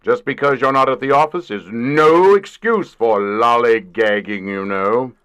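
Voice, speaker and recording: A man's voice: medium pitch, unhurried, fairly bright tone, clean tone, close to an everyday voice. A man in a comic audio drama playing an overbearing middle-aged company boss, pompous and emphatic. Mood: pompous, brisk.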